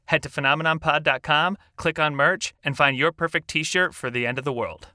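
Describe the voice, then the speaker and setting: A man's voice: a little high, fairly even intonation, fairly quick, sharp tone, no echo. A man reading a scripted podcast merch promo in an upbeat, enthusiastic manner, close on the mic.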